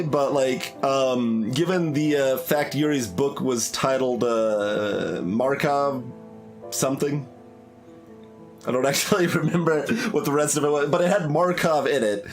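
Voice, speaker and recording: Male streamer, unhurried and hesitant, analytical casual game commentary, mid-pitched, faint music underneath. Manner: hesitant, thinking aloud, analytical. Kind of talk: casual game commentary, reading text aloud.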